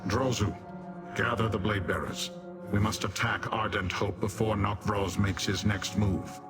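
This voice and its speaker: A man's voice: lowish voice, fairly even intonation, unhurried, over loud music, close to an everyday voice. A man voicing a commanding warrior leader in a fantasy video game, speaking with urgency. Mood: urgent, commanding. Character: commanding male warrior leader rallying his fighters.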